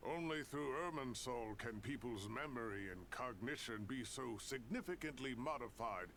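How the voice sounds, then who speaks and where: A man's voice: mid-range voice, expressive, normal speed, fairly bright tone, a little hiss or hum. An actor playing an earnest male researcher in a fantasy game, articulate and analytical. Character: earnest male researcher of mystical ley lines. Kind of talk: scripted game dialogue.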